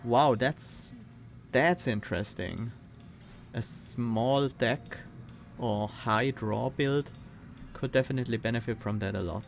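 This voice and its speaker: A man with a Swiss accent, streaming a card game and thinking aloud, reading cards and weighing choices with pauses and fillers. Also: mid-range voice, vocal fry, faint music behind. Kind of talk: casual gameplay commentary.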